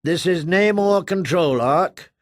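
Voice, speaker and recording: A man's voice: high voice, slow, no echo, clean studio sound, voice pushed up. A man voicing a stern, officious female traffic controller who repeats clipped official demands.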